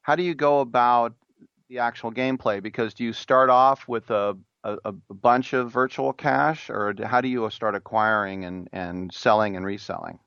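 A man's voice: mid-range voice, fairly even intonation, moderate pace, vocal fry, a bit bright, clear, resonant tone, dry recording, highs cut off. A man interviewing a guest, asking questions in an easygoing, chatty way.

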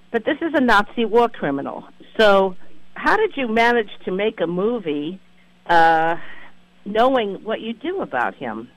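Male radio host, distinctly high voice for a man, emphatic and effusive, dry acoustic. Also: a bit bright, no echo, poor audio. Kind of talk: conversational radio interview.

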